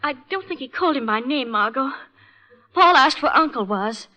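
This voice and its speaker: A woman's voice: sits high, expressive, normal speed, bright, forceful tone. A woman playing an anxious, fragile young woman in an old-time radio melodrama, faltering and pleading, on a muffled recording. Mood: nervous recounting.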